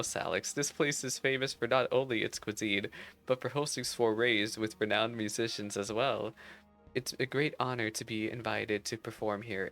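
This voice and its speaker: A man's voice: lowish voice, fairly bright tone. A man voicing an earnest, wistful musician searching for a friend in a fantasy game, polite and reflective.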